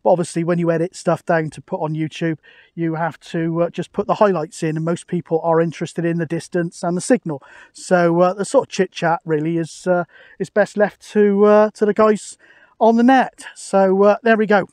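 A man's voice: sits high, flat delivery, normal speed, a little mellow, no echo, studio quality. A British man chatting casually and matily outdoors, as a radio hobbyist making a video. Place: outdoors on an open hilltop.

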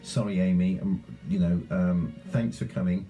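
A man's voice: low voice, fairly even intonation, normal speed, dark tone, soft background music. A man hosting a community radio show, chatting casually and rambling with lots of fillers. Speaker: radio host.

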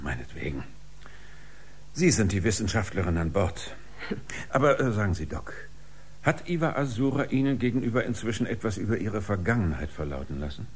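An actor playing a stern, skeptical spaceship commander in a radio drama, speaking in a dry, formal manner. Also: male voice, lowish voice, sing-song, fairly quick, a little hiss or hum. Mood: grudging.

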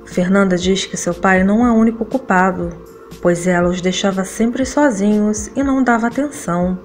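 A woman's voice: medium pitch, moderate pace, a little mellow, soft background music. A woman with a Brazilian accent reading a scripted soap opera recap as narrator.

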